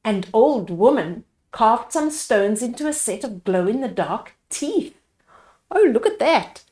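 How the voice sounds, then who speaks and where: A woman reading a children's picture book aloud as a storyteller, pausing to explain the pictures to young listeners. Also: on the high side, sing-song, a little mellow. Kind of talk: read-aloud storytime narration with asides to children.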